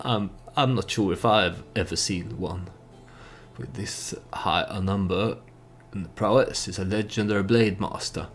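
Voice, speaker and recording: A man's voice: low-pitched, creaky, slight background noise. A man giving casual, storytelling commentary on a game he plays, hesitant and thinking aloud as he goes. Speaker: let's play host and storyteller.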